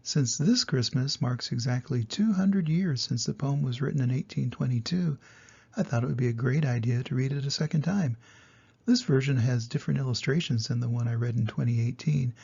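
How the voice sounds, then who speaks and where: An older man reading a storybook to his grandchildren in an affectionate, expressive way, with a husky, creaky voice. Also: medium pitch, fairly quick, dull audio. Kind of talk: storybook read-aloud with personal introduction.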